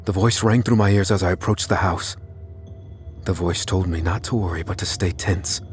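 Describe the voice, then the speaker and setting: An actor playing a man recounting a tense showdown with a killer, in measured, suspenseful first-person narration. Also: deep voice, steady tone, faint music behind, lightly acted. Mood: tense, uneasy. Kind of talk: scripted dramatic audio fiction narration.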